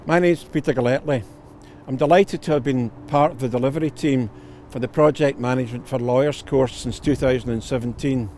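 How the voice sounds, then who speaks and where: A man's voice: mid-range voice, brisk, mellow, a little hiss or hum. A man, a solicitor and tutor, giving a scripted promotional testimonial with formal, careful phrasing.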